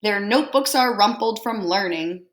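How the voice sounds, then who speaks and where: A woman teacher reading a picture book aloud to children, expressively and deliberately, pausing to put simple questions to them. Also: mid-range voice. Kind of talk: storybook read-aloud with questions to young listeners.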